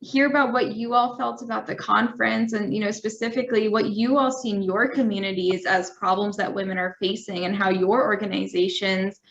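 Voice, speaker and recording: A woman's voice: medium pitch, steady tone, fairly quick, vocal fry, hardly any pauses, a little hiss or hum. A woman moderating a video call discussion, friendly and encouraging. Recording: dull lo-fi call audio.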